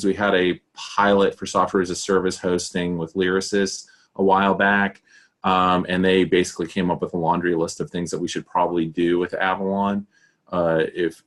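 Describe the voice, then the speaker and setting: A man giving a casual software demo over a video call, relaxed and loose, with frequent fillers and restarts. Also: deep voice. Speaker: community manager giving a demo. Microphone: video call webcam.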